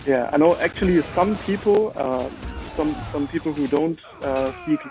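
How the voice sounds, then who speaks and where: A man's voice: fairly high pitch, normal speed, music in the background, low-quality recording. A man with a German accent, a native German speaker, chatting casually and commenting on his own gameplay with many filler words. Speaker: gamer.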